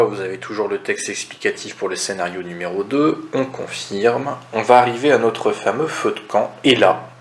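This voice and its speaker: A man's voice: mid-range voice, fairly bright tone. A man presents board game rules in a casual, step-by-step tutorial, conversational and didactic, often asking questions and then answering them. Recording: roomy echo, audible background noise.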